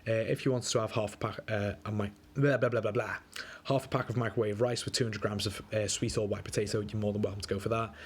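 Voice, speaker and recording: Fast-talking, slightly wheezy man. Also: lowish voice, steady tone, breathy edge. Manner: laid-back, chatty, lots of fillers. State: a bit wheezy, coming down with flu. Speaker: diet coach. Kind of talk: casual screen-recorded walkthrough. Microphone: screen recording.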